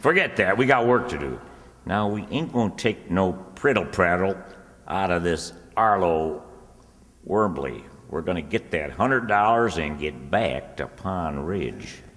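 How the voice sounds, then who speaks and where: A man's voice: sits low, expressive, a little slow, a bit bright. A man playing an exasperated, bossy country bill collector in a radio comedy, insistent and folksy in his rural grammar. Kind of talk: old-time radio comedy acting on stage. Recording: echoey hall with long reverb.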